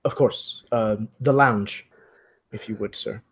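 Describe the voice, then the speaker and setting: A man's voice: fairly low pitch, slow, slightly rough, full character voice. A man playing a polite, formal host of a mansion in a mystery roleplay. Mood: courteous.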